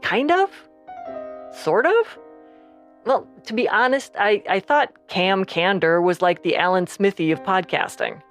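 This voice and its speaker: A woman's voice: mid-range voice, lively intonation, unhurried, faint music behind, lightly acted. A woman playing a candid podcast creator, chatting casually and a little hesitantly as an interview guest. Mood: relaxed, candid. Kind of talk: casual conversation.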